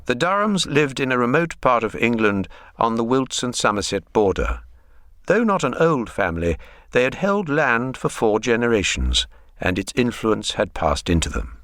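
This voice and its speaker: A man narrating a literary radio drama in a measured, wry way. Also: mid-range voice, very animated, a bit bright, dry recording, studio quality. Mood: descriptive.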